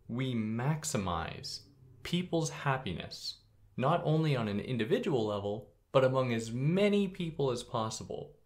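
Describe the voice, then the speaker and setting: A young adult man hosting a podcast, explaining philosophy in a relaxed, conversational way with a soothing voice and sudden upward jumps in pitch. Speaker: podcast host. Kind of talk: casual explainer monologue.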